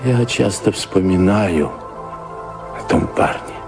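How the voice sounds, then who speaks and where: A wistful dreamer in an audio play, really deep n slow, loud music under it. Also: male voice, lively intonation, gravelly, full character voice. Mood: wistful, brooding. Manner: slow, brooding, reflective with long pauses. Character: wistful man haunted by longing for a seafaring life. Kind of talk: theatrical radio drama acting.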